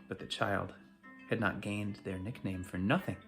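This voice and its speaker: A storyteller reading a bedtime folk tale aloud in an expressive, dramatic way. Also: deep voice, a little slow, creaky, soft background music. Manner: dramatic, animated delivery. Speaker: storyteller reading to a school community.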